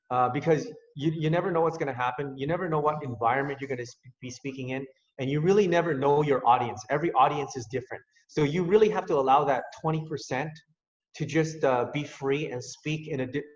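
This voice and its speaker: A man from Canada speaking as a presenter, earnest and given to repeating phrases for emphasis. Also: medium pitch. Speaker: public speaker, science teacher. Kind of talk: prepared presentation and question answering. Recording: dull, echoey hall sound.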